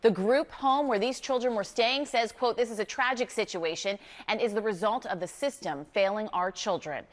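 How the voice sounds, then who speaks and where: A woman's voice: medium pitch, normal speed, hardly any pauses, long reverb tail. A woman with an American accent anchoring live television news and interviewing a guest.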